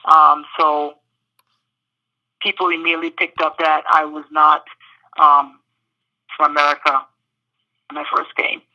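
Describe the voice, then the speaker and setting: A woman from the British Virgin Islands answering interview questions thoughtfully, pausing often with fillers. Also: low voice, level tone, lo-fi sound. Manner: thoughtful, with many pauses and fillers.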